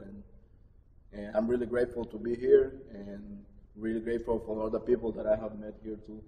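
A man's voice: lowish voice, warm tone. A young man from Honduras, a graduate student, speaking earnestly and gratefully with some fillers. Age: young adult. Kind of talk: unscripted personal remarks. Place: large chapel with stone walls, indoors. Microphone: camera mic from across the room. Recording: hall reverb, distant mic, faint room hiss.

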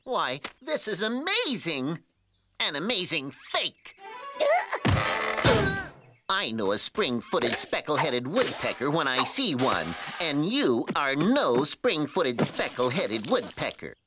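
A haughty cartoon lady, low-voiced, with wildly swinging pitch. She speaks in a theatrical, accusing way over loud music. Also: big pitch swings, takes it slowly, heavily acted. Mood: scornful. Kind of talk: cartoon voice acting. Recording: music behind the voice.

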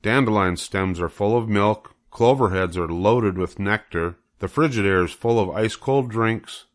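A man's voice: fairly low pitch, normal speed. An older man narrating a children's book as a storybook reader.

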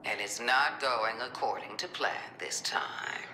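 An actress playing a stern, ruthless government commander in a video game, blunt, contemptuous and commanding. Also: female voice, a bass voice, animated, takes it slowly, bright, forceful tone, reverberant, slight background noise, heavily acted. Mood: probing. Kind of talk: dramatic video game voice acting.

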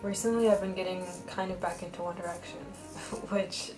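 Monotonous, blunt young-sounding woman. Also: lowish voice, moderate pace. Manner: flat, monotonous speech pattern; blunt and rambling, many fillers. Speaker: art vlogger. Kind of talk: casual chatty voice-over while drawing. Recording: roomy voice over background music.

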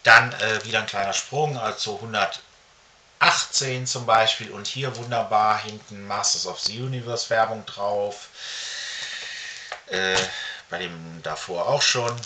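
A middle-aged man talking casually to camera as a comic collector, halting often with filled pauses, recorded in a room at home. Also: lowish voice, pushed and bright. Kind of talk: casual show-and-tell to camera. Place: small furnished room at home, indoors. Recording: faint background noise.

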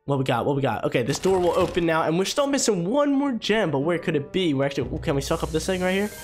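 A man's voice: a little high, very animated, quick pace. A young man with a stuffy, congested voice, commentating his video game playthrough to viewers on a headset mic, hyped and chatty. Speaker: gaming channel host. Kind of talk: gameplay commentary. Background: game music.

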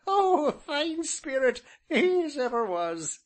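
A man's voice: very high-pitched, very animated, slow, pitched above the performer's own voice, heavily acted. A man voicing a talkative, chuckling old rustic grandfather with an English rural dialect who repeats himself. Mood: admiring, chuckling.

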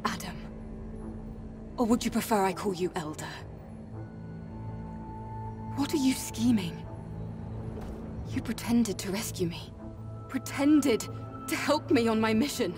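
A young female android warrior who feels betrayed and demands answers. Her high voice is intense and confrontational, set slightly back from the mic with music clearly behind it, in a dramatic game cutscene. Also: expressive, measured pace, long pauses, distant mic. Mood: cold, suspicious.

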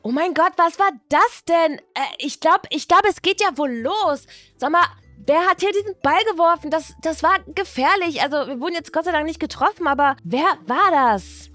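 A high-pitched woman acting as a teenage schoolgirl, chatty and theatrical, talks in exaggerated teen slang. It sounds like an improvised toy story video, with music behind the voice. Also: lively intonation, normal speed, hardly any pauses, fairly bright tone, dry recording. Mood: annoyed. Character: chatty teenage schoolgirls, dramatic and easily bored. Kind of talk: improvised doll-play storytelling with character voices.

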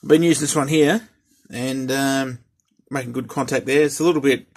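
A middle-aged man with an Australian accent and a husky voice, talking casually and a little hesitantly as he hosts a hobby vlog. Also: medium pitch, steady tone, normal speed. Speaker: host and radio hobbyist.

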